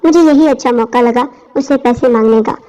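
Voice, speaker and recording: A woman's voice: fairly high pitch, fairly quick, warm tone. A woman reading a scripted first-person story as its narrator. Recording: dull lo-fi audio.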